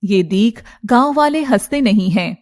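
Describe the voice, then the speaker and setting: A woman's voice: medium pitch, lively intonation, measured pace, a little mellow. A woman giving scripted narration for a cartoon story.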